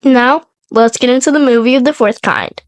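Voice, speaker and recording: High-voiced congested young woman podcaster. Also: normal speed, dry recording. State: sick with a cold. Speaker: podcast co-host and storyteller. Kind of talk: podcast storytelling, part scripted, part casual chat.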